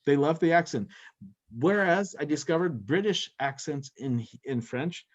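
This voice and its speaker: A man's voice: a little high, animated, unhurried, dull audio. A middle-aged American man, a rabbi, chatting through a talk over a video call and chuckling as he goes. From United States. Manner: chatty, chuckles often between thoughts. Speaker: rabbi and guest speaker. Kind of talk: relaxed lecture Q&A.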